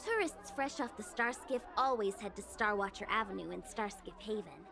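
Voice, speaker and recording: A woman's voice: medium pitch, lively intonation, normal speed, gravelly, fairly bright tone. An actress playing a breezy, chatty young woman slacker in a video game. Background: game music.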